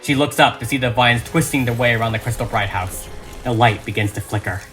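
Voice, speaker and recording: A man's voice: mid-range voice, brisk, fairly bright tone. A man reading a script as dramatic narration, with emphatic, suspenseful delivery. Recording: music behind the voice.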